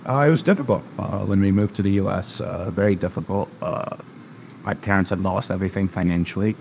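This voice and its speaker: A man's voice: sits low, a little fast, gravelly, darkish tone, slight background noise. A man born in Zambia and raised in New Jersey, a physician answering interview questions hesitantly with many uh's and um's. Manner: hesitant, lots of uh and um, plain spoken. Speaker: guest, family physician.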